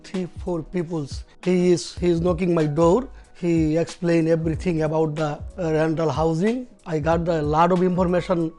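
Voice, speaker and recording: A middle-aged man with a Bangladeshi accent, a taxi driver speaking in a documentary interview, halting with many fillers and simple phrasing. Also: on the high side, a little mellow. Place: apartment living room.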